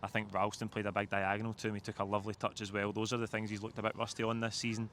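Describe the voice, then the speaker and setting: A Scottish man with a lowish voice hosts a football reaction chat. His delivery is extremely flat but brisk, and there is faint outdoor noise behind him. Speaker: host of football fan show. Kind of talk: post-match reaction chat. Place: outdoors outside a football stadium.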